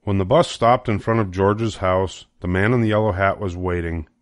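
Low-voiced older man reading a storybook. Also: fairly even intonation, normal speed, no echo, studio quality. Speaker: storybook reader. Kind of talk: children's storybook narration.

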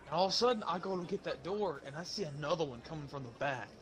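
A young man casually telling stories to friends while gaming, slangy and animated as he acts out the dialogue. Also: fairly high pitch, quick pace. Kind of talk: casual storytelling among friends during online game.

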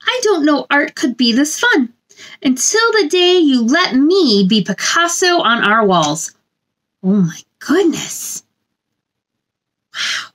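A woman storytime host with a high voice that swoops up and down. She speaks in a gentle sing-song way, as if to young children, moving between picture-book reading and chatty asides. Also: very animated, slow pace, long pauses. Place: small indoor room.

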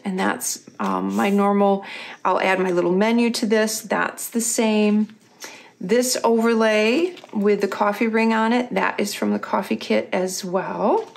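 A middle-aged woman, a little husky and losing her voice, chatting casually as she walks through her planner pages. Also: medium pitch. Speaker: craft company host. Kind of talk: casual craft tutorial voice-over.

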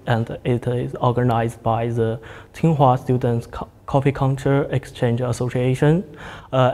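Student panelist, male, early twenties: dark mellow tone, halting delivery, roomy reverb. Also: medium pitch. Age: early 20s. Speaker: student guest, coffee club leader. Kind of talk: panel discussion. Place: large indoor cafe-like room. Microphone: lapel.

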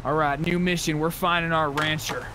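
Higher-voiced man chatting over noisy game audio. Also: flat delivery, moderate pace. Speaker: player in an online roleplay game. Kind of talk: casual chat while gaming. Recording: noisy game voice chat with vehicle sounds. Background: clear vehicle and game ambience noise.

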